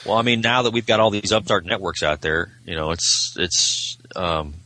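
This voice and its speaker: A man's voice: sits low, normal speed, sharp tone. A man chatting casually as a podcast guest, candid and informal, often qualifying his own opinions. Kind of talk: casual chat about TV shows.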